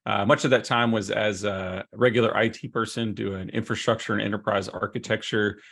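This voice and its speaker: Gravelly-voiced man in a webinar. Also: lowish voice, fairly even intonation, moderate pace, fairly bright tone. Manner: chatty, prompts his co-presenter with questions. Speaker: solution consultant. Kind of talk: webinar presentation.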